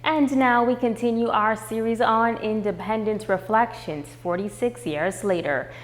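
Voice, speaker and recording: A woman's voice: fairly high pitch, normal speed, a little hiss or hum. A woman news anchor with a Bahamian accent, presenting from the studio.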